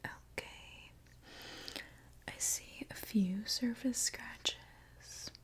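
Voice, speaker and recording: A woman whispering softly in an ASMR roleplay as a friendly, caring mechanic, with a gentle, soothing manner. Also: a little high. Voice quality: breathy soft whisper. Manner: gentle, unhurried, soothing reassurance. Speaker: ASMR performer playing a robot mechanic.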